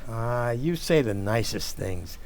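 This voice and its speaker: A man acting a doltish, soft-hearted husband and doting son in an old-time radio comedy, gushing and excitable. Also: medium pitch, slow pace. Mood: tender, contrite.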